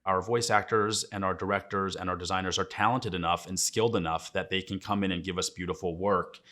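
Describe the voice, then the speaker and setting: Very low-voiced man explaining his work. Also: fairly even intonation, a little fast, pushed and bright. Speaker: audio production staff member. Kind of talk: explanatory interview talk.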